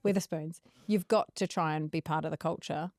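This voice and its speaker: Fast-talking New Zealand woman host. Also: low voice, no echo, studio quality. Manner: quick, lively, laughs often. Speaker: podcast host. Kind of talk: casual interview chat.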